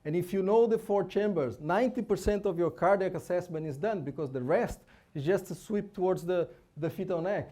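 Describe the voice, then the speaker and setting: A man's voice: on the high side, warm tone. A man from Brazil with a Brazilian Portuguese accent, speaking English as a conference speaker on fetal hearts, informal and full of restarts and tag questions. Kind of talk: conference lecture with live scan.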